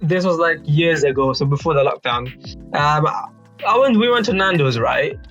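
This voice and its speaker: A young man with a British accent and a notably high voice tells a casual story. He is slangy and animated, repeating phrases and tagging them to check his friends follow. Music plays behind him. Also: normal speed, dull audio. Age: young adult. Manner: animated slangy storytelling, repeats himself, seeks agreement with tags. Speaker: youth advisory board member on a podcast. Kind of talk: casual anecdote among friends.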